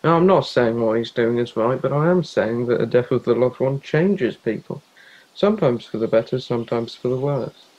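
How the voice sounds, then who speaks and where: Caring, worried teen boy, young actor, earnest stage drama, mellow mid-range voice. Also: medium pitch, animated, normal speed, lo-fi sound, lightly acted. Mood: gentle, reflective. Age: young adult. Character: worried, caring teenage boy, loyal friend. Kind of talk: youth theatre stage drama acting.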